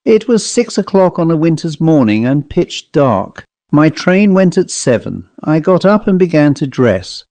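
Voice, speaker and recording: A man's voice: on the high side, big pitch swings, measured pace, hardly any pauses, warm tone, no room sound, voice pushed up. A man voicing a plain-spoken travelling salesman telling a grim storybook tale in a dry, colloquial, conversational manner. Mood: grim, frightened. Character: haunted male commercial traveller, plain-spoken teller of grim tales. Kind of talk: dramatised storybook monologue.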